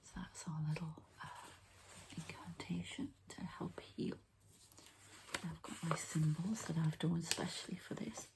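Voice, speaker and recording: A woman whispering gently and breathily as she hosts an unhurried, hushed ASMR energy-healing session. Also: sits low, creaky, rough recording. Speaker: ASMR host and energy healer.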